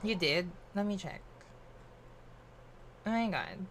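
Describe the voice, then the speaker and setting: A woman's voice: fairly low pitch, animated, takes it slowly, a little hiss or hum. Playful Filipino woman streaming a game. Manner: mixes English and Filipino, playful, teasing asides. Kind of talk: casual livestream chat while gaming.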